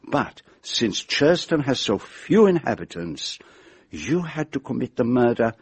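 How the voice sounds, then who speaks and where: An actor playing a shrewd, methodical detective in a radio mystery drama, speaking formally and deliberately as he sets out an accusation. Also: mid-range voice, darkish tone, breathy edge. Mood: methodical, grave. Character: shrewd, methodical male detective laying out his case.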